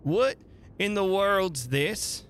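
A man's voice: sits high, lively intonation, extremely slow, fairly bright tone, slight background noise, pitched above the performer's own voice, heavily acted. A man reading the part of a practical, plain-spoken male travelling companion in a dramatic story. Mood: startled.

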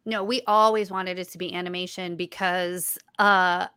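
A woman's voice: lowish voice, steady tone, normal speed, sharp tone, smooth, no room sound, clean studio sound. Woman with a childlike voice, chatty. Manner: chatty, with frequent restarts and fillers. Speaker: guest, writer and voice actor. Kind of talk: casual interview chat.

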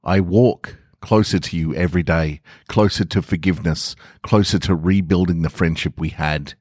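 A man's voice: low-pitched, normal speed, darkish tone, breathy edge, no echo, studio quality. A man voicing a remorseful man seeking forgiveness, in an earnest, pleading first-person story reading.

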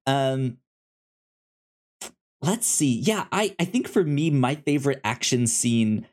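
Halting, slow-paced man chatting about films. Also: mid-range voice, lively intonation, a little mellow. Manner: halting, stammering, repeats words. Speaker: podcast co-host reviewing films. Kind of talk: casual movie discussion.

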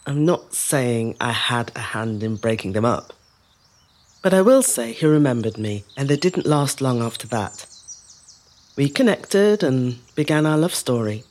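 A woman playing a resilient middle-aged woman telling her life story in a measured, reflective dramatic monologue. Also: extremely low pitch, expressive, darkish tone. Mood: fond, nostalgic. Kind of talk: scripted dramatic monologue, first-person storytelling.

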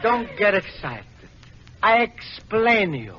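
A man's voice: high voice, big pitch swings, takes it slowly, crowd noise, heavily acted. A man playing a naive, earnest Italian immigrant in an old radio comedy, explaining things step by step in simple broken English with an Italian accent. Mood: patiently explaining. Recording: noisy, muffled old radio broadcast recording.

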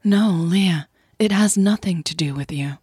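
A woman acts out a young woman lover in a romantic audio drama. Her voice is low and husky, and she talks slowly, with hesitant, candid sincerity. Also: a little mellow, no echo, studio quality. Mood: candid, earnest.

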